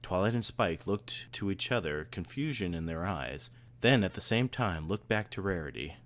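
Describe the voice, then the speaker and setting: A man's voice: low-pitched, animated, normal speed, fairly bright tone. A man reading scripted story narration as an amateur fan-fiction narrator.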